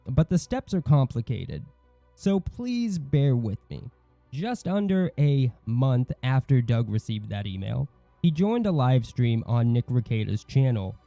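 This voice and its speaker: Male commentary narrator: very dark, relaxed timbre, middle pitch, close dry mic over faint music. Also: no room sound. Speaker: commentary video host.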